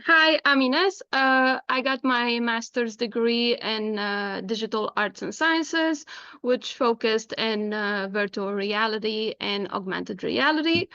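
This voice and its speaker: A woman's voice: fairly high pitch, a bit bright, smooth, no room sound, muffled recording. A woman from Tunisia, giving an informal online presentation as a guest speaker. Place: indoors, online video call.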